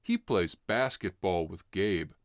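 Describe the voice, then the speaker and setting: A man narrating a children's storybook in an expressive read-along style. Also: low voice, takes it slowly, no room sound, studio quality. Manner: expressive, clearly acting out dialogue. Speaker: narrator reading a children's book.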